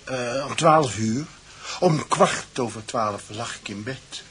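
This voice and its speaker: A man with a Netherlands Dutch accent playing a sleepy, shaken petrol pump owner in a radio drama, halting and repeating his words. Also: mid-range voice, fairly bright tone. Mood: shaken, upset. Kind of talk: naturalistic radio drama acting.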